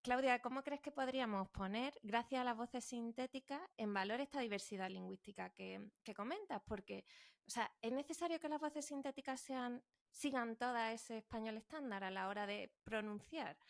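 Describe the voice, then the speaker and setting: A woman from Jaén with an Andalusian accent, hosting a casual podcast chat with quick backchannels and laughter. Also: on the high side, gravelly. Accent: Andalusian Spanish. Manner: animated, laughing, frequent short backchannels. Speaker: podcast host.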